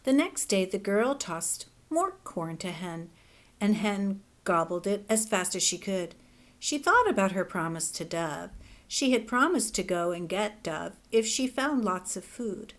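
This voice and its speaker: A woman teacher reading a children's fable aloud in a gentle, expressive storybook manner. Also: medium pitch, unhurried. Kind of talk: children's read-aloud narration.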